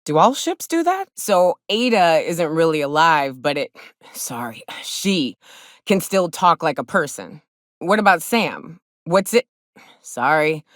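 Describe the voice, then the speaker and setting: An actress playing a hard-drinking frontier hunter and guide in a video game, blunt and wry, with folksy speech. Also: female voice, low voice, sing-song, unhurried, dry recording, clean studio sound. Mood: uneasy, puzzled. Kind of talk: video game companion dialogue acting.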